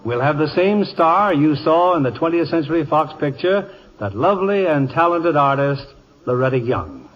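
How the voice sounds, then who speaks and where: A man's voice: fairly high pitch, expressive, moderate pace. A man hosting a radio theater in a polished, scripted mid-century style. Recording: dull old radio recording.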